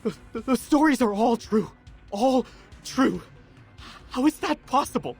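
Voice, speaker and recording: A shaken, protective young man in a horror game. He speaks urgently and dramatically, with wide swings in pitch. Faint music runs underneath. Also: animated, unhurried, creaky, slightly rough, full character voice. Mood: horrified disbelief. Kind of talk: video game voice acting.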